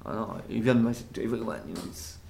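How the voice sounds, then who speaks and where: French-accented male guest, gravelly, halting, faint noise behind. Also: on the low side, animated. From France. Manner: halting, searching for words, frequent restarts and fillers. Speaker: performer and interview guest. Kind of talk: casual interview.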